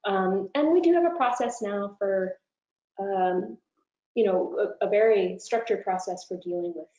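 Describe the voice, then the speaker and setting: A woman with a soft-edged, mellow voice answers as a professor being interviewed. She is informal and thoughtful, reshaping sentences as she talks. The room adds a noticeable echo around her. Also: medium pitch, a little slow. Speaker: university professor, interview guest. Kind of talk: conversational webinar answers. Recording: roomy, echoing room sound.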